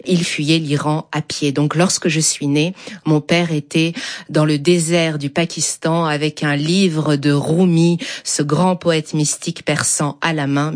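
Female interview guest, low register. Reflective, measured delivery with emphatic pauses. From born in Germany to Iranian and Kurdish exile parents. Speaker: interview guest, whirling dervish.

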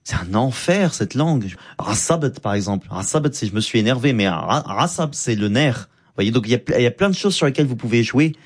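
Male comedian guest, lively and flamboyant, mid-pitched voice, quick asides. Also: husky. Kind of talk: conversational interview talk.

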